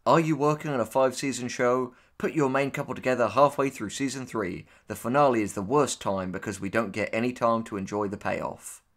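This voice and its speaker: A man co-hosting a casual commentary discussion, speaking in a measured, articulate and opinionated way. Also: mid-range voice. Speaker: co-host, audio drama writer.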